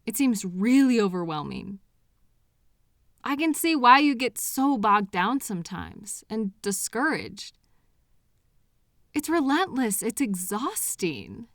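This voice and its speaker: A woman's voice: a little high, big pitch swings, a bit bright, no room sound, full character voice. A woman acts as a devoted, patient girlfriend in an intimate audio roleplay. She takes her time over each phrase, tender and reassuring as she comforts an anxious partner. The sound is very dry and close, with no room around the voice. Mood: sympathetic. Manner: tender, reassuring, unhurried pauses with sighs.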